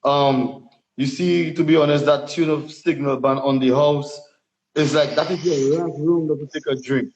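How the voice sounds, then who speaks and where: A man's voice: fairly high pitch, steady tone, measured pace, darkish tone, a little hiss or hum. Laid-back Dominican man in an echoey room. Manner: laid-back, hesitant, thinks aloud. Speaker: DJ guest. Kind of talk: casual interview answers. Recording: echoey room, dull lo-fi sound.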